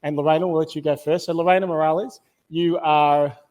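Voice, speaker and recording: A man's voice: a little high, fairly even intonation, a little fast, darkish tone. A man moderating a conference panel on stage, upbeat and encouraging with his guests.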